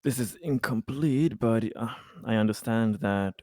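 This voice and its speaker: A man's voice: medium pitch, measured pace, mellow, dry recording, studio quality. A man playing a tabletop roleplaying game, talking casually in character.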